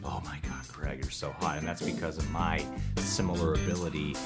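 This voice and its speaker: A man's voice: very deep voice, steady tone, fairly quick, loud music behind the voice. A young man co-hosting a movie reaction show, chatting casually with jokey, self-mocking bravado.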